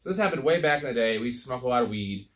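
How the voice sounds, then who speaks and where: A man's voice: mid-range voice, lively intonation, fast, fairly bright tone. An American man co-hosting a sports podcast, talking and telling stories in a casual, rambling, profane way. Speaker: podcast co-host.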